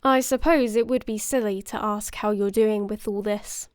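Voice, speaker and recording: An actress playing a composed, authoritative organization leader in an audio drama, speaking formally and with smooth reassurance. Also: female voice, medium pitch, fairly even intonation, normal speed, a little mellow, no echo, studio quality, lightly acted. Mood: smooth, reassuring.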